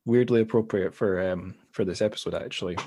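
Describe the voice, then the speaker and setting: A man's voice: on the low side, fairly quick, dark tone, slight background noise. A Scottish man hosting a podcast, chatting casually with rambling asides. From Scotland. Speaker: podcast host. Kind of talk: casual podcast chat and intro.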